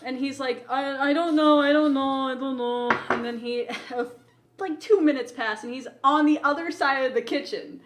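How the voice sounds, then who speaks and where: A woman with a high-pitched voice chats as a podcast co-host. She tells stories casually, in a playful, teasing manner, dropping "like" into her sentences. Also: normal speed, background noise. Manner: playful, teasing, often says "like". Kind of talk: casual chat, animated storytelling.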